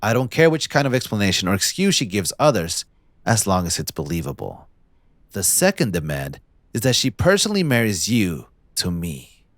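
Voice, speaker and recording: A man playing a possessive, smug young mafia heir in a scripted audio roleplay, speaking in a theatrical, condescending, deliberate villain's drawl. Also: fairly low pitch, a bit bright, no room sound, clean studio sound. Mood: smug, calculating.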